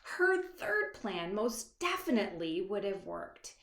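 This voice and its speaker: A woman author reading a children's picture book aloud expressively, with dramatic hushed moments and asides to young listeners. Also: medium pitch, sing-song. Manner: dramatic, dropping to hushed asides and stretched words; pauses to address young listeners with questions. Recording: echoey, reverberant room.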